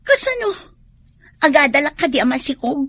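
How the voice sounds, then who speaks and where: A naive, worried young student who is expecting in a radio drama. Her voice is high and girlish, with a pleading manner. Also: sing-song, normal speed, bright, forceful tone, heavily acted. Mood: anxious. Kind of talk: Ilocano radio drama acting.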